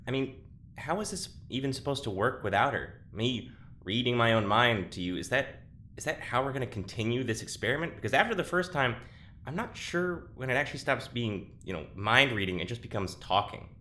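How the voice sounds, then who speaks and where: An irritable prisoner in an audio drama, quick and sharp-voiced. He is sarcastic and exasperated, with a very lively swing in pitch. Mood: impatient. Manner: snarky, exasperated, informal. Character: sarcastic, irritable prisoner used as test subject. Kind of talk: scripted audio drama acting.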